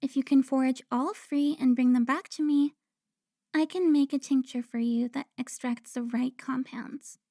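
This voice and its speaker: Woman playing a high-voiced, taunting seductive vampire. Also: steady tone, dark tone, dry recording, studio quality. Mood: teasing, smug. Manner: taunting, drawn-out, theatrical. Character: teasing, seductive female vampire informant, haughty and playful. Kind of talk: cinematic audio roleplay acting.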